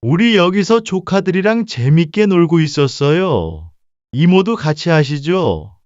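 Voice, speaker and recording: A man's voice: fairly high pitch, sing-song, unhurried, a little mellow, dry recording, highs cut off. A man playing a menacing, mocking street thug in a narrated story, with a swaggering, sneering manner.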